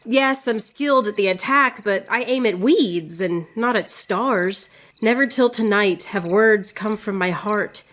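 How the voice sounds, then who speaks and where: An actress playing a witty, proud, lovelorn woman poet in radio theatre, speaking in a theatrical, yearning way with drawn-out phrases. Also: medium pitch, fairly bright tone, slight background noise, lightly acted. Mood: earnest, yearning. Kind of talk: poetic radio theatre acting.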